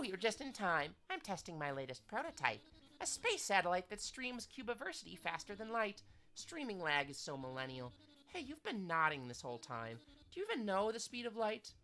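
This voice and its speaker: A woman's voice: medium pitch, bright, forceful tone. A woman voicing a nerdy young ghost inventor who lectures in a dry, smug way.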